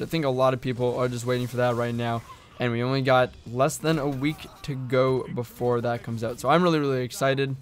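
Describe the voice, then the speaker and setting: A man with a hoarse voice that keeps cracking talks viewers through game strategy. He sounds loose and conversational, hedging as he works through his points at an ordinary, middle pitch. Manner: conversational, thinking aloud with hedges. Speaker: gaming video creator. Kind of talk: casual game strategy explainer.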